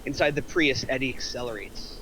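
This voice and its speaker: A man's voice: medium pitch, fairly quick, sharp tone, low-quality recording. A man reading screenplay action aloud as a scripted narrator. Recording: faint background noise.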